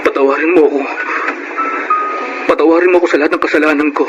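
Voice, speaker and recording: A man's voice: fairly high pitch, fairly even intonation, fast talker, loud music behind the voice, close to an everyday voice, rough recording. A Filipino man acting in a radio drama as a remorseful, humbled husband and father, pleading earnestly.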